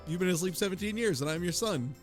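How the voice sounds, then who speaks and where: A man's voice: sits high, animated, quick pace. A man in a casual comedic discussion of a visual novel, sweary and sardonic, often acting out lines in mocking paraphrase. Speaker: book club podcast panelist. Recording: music playing behind the voice.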